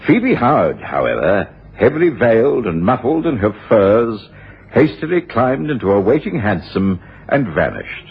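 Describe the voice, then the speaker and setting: An earnest old-fashioned gent telling a radio mystery, real expressive, muffled radio sound. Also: male voice, on the low side, moderate pace, slightly rough, exaggerated voice. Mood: dramatic, earnest. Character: Watson, gentlemanly Victorian companion and storyteller, earnest. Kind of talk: radio drama narration and dialogue. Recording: dull radio recording with music.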